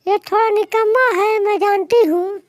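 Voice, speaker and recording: A woman's voice: very high-pitched, steady tone, moderate pace, no room sound, clean studio sound. A woman voicing a headstrong young married daughter in a moral-story cartoon, pleading and scolding in plain words. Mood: pleading, insistent.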